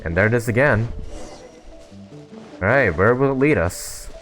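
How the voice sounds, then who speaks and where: A man's voice: mid-range voice, expressive, measured pace, fairly bright tone. A man from Canada hosting a gaming video, giving casual commentary and reading dialogue aloud. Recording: faint game music behind. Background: game music.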